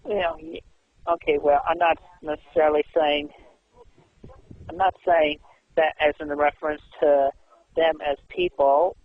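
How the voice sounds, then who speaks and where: A man talking casually on the phone in a halting, repetitive way. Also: on the high side, flat delivery, dry recording. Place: telephone call. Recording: tinny narrowband phone line.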